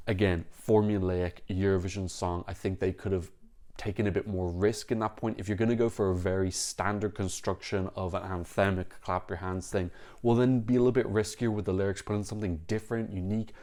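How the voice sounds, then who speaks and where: A man with a fairly low voice talks straight to camera, laying out his opinions bluntly. His speech comes in fast bursts, breaking off and restarting as he works through each point. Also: fairly even intonation, mellow. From Ireland. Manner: frank, critical, talks in quick bursts with restarts. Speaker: Eurovision reaction vlogger. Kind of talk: opinionated casual commentary to camera.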